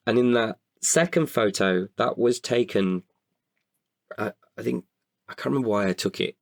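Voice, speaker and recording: A man's voice: lowish voice, vocal fry, dry recording, clean studio sound. A man talking casually as a podcast guest, candid and introspective, feeling his way through his thoughts with some hesitation. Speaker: podcast guest, writer and performer. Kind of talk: reflective casual interview talk.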